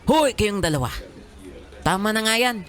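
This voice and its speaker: A man's voice: sits high, expressive, a bit bright, soft background music. Man acting a flamboyant, swooping Filipino office teaser. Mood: playful bossy. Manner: campy, playful, drawn-out teasing. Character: flamboyant, teasing male office coworker and friend. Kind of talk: Filipino audio drama acting.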